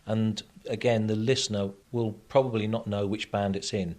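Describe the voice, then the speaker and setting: Male technical expert, slightly low pitch, measured and factual interview delivery. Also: fairly even intonation, a little mellow. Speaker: radio technology expert guest. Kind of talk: explanatory interview answers.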